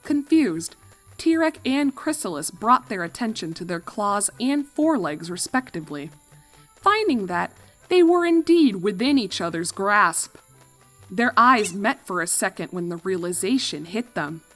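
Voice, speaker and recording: A woman's voice: medium pitch, very animated, unhurried, a bit bright, soft background music, rough recording. A woman reading a fan fiction story as a scripted narration.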